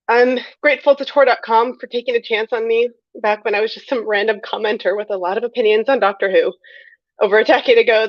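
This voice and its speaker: A woman's voice: fairly high pitch, no room sound. A woman reads out a prepared acceptance speech. Her delivery is earnest and emphatic, with formal wording. The recording is very dry and a little dull, without room sound. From United States. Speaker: award winner, critic and librarian.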